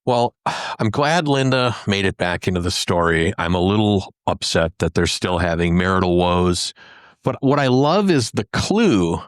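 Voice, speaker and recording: A man's voice: sits low, expressive, normal speed, clean tone, no room sound, studio quality. A man co-hosting a podcast, giving casual commentary in conversation. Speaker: podcast co-host.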